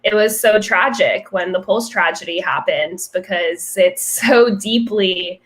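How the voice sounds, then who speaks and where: Woman from Orlando, encouraging moderator, mid-pitched voice. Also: unhurried, poor audio. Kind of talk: moderating a panel discussion.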